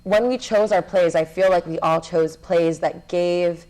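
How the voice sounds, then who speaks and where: A woman's voice: fairly low pitch, steady tone, a little slow, a little mellow, low-quality recording. A young woman, a student theater director and actor, answering questions conversationally as an interview guest. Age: young adult.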